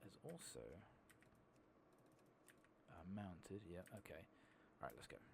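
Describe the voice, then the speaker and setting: Man, very low voice, lively intonation, soft-spoken ASMR gaming, rambling, thinking aloud, close mic. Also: slow, vocal fry, noisy background. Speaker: gaming streamer. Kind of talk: soft-spoken ASMR game playthrough commentary.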